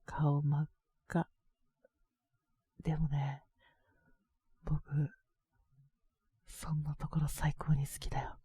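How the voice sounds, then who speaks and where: A woman playing a boyish, slightly mean but doting teenage girlfriend in a romantic audio drama, speaking in a soft, intimate, teasing way. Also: low voice, flat delivery, takes it slowly, long pauses, breathy edge, no echo, heavily acted. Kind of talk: Japanese romantic situation voice acting.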